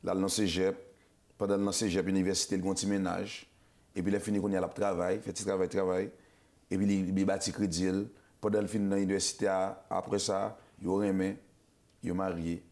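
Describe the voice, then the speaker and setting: A man with a Haitian accent hosts a loose, casual talk show. He talks at a rapid clip, stumbling into restarts and repeated words. He slips Creole and English phrases into his speech. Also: sits low, flat delivery, darkish tone. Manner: fast, repetitive, stammering restarts, mixes Creole and English. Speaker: show host.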